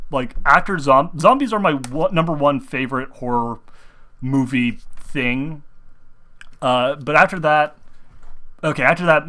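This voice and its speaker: Young male host, bright voice, loose rambling chat, a bit under the weather. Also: medium pitch. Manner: rambling, many restarts and fillers, upbeat. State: sick, talking through discomfort. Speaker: gaming video host. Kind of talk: rambling casual chat to viewers.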